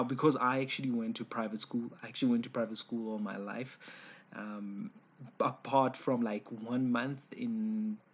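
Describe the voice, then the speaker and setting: A man in his forties speaks with a South African accent and a slightly raspy, mid-pitched voice. He delivers informal opinion commentary in a conversational manner. His speech is hesitant, and he often stops to correct or restate himself. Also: dull audio. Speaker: online commentator. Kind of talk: informal spoken opinion piece.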